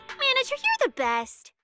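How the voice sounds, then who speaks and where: Very high, animated, cheeky young policewoman. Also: slow pace, full character voice. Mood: conspiratorial. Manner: playful, hammy, hesitant asides with ums and hums. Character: lazy, food-loving young policewoman, cheeky and playfully dramatic. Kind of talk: video game story voice acting. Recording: music behind the voice.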